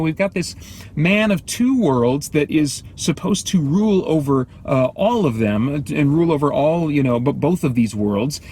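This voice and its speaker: American male vlog reviewer, slightly high voice, conversational and halting, light background noise. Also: darkish tone. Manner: halting, thinking aloud, self-interrupting. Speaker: movie reviewer and host. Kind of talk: casual off-the-cuff review vlog. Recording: clear background noise, ambient sound.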